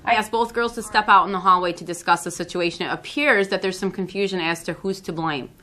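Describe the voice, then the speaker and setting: A woman's voice: on the low side, steady tone, quick pace, sharp tone. A woman playing a firm school counselor who reasons through a student dispute and admonishes step by step, in an amateur role-play. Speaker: school staff member. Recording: echoey room.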